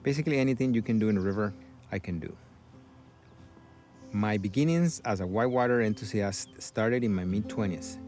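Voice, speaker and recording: Low-voiced middle-aged Costa Rican man. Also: brisk, darkish tone. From Costa Rica. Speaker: river guide. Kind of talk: reflective documentary voice-over. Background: music.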